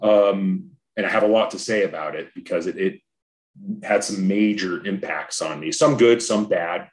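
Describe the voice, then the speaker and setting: A man's voice: sits low, expressive, moderate pace. A man in his forties from Southern California, a podcast guest talking reflectively and earnestly, often restarting his sentences. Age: 40s. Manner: reflective, earnest, frequent restarts and repeated words. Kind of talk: casual interview conversation.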